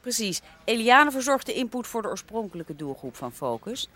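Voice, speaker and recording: A woman playing an ambitious, bossy radio chief editor in a comedy, brisk and breezy, cutting others short. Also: a little high, big pitch swings, a bit bright. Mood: brisk, managerial.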